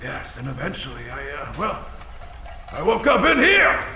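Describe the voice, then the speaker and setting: Gravelly, boastful old dragon warrior. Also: male voice, a little high, huge pitch swings, moderate pace, pushed and bright, heavily acted. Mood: proud, rambling. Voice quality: gravelly, creaky. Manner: bombastic, folksy, exclamatory. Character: boastful, battle-scarred old dragon warrior, fiercely proud. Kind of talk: audio drama character acting. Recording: reverberant chamber, some background noise.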